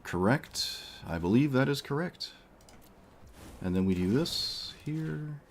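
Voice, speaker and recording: A man's voice: medium pitch, lively intonation, slow pace, a little hiss or hum. A male streamer losing his voice, thinking aloud as he plays a card game. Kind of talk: casual gameplay commentary.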